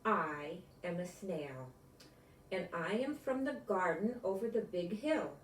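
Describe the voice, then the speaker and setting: Lady playing a gentle-mannered newcomer snail in a kids story, super slow and deliberate, roomy audio. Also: lowish voice, lively intonation, slight background noise. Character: slow, polite snail newcomer from a faraway garden. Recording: echoey room, distant mic.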